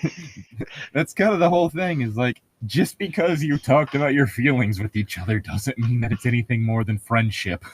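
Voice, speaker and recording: A man's voice: mid-range voice, moderate pace, slightly rough, no room sound. A man hosting a casual, joking online chat, opinionated and swearing freely. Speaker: host of an online channel.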